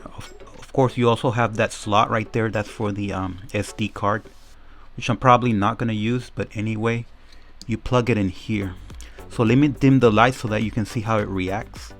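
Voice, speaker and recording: A man giving a casual, informal product demo, explaining things step by step as a reviewer. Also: on the low side. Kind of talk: casual unboxing and demo commentary.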